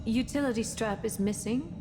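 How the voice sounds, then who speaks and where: An actress playing a sober, practical spaceship crew member in a sci-fi horror game, speaking in a clipped, matter-of-fact way. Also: female voice, fairly high pitch, normal speed, music in the background, lightly acted. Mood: grim, clinical. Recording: long cavernous reverb.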